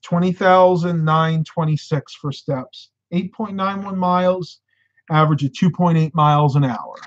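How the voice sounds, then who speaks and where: A man in his thirties hosts a casual live stream from a room at home, giving advice with lively hand gestures. His voice sits a touch high and is starting to turn hoarse. The sound is slightly dull and lo-fi, as if recorded through a desk webcam. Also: unhurried. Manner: animated, gestures with hands while talking. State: getting hoarse.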